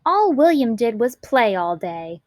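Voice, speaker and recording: A woman's voice: a little high, big pitch swings, unhurried, a little mellow, dry recording, clean studio sound. A woman voicing a bossy, impatient little schoolgirl in a children's storybook, whiny and insistent as she complains. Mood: indignant. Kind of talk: children's storybook read-aloud.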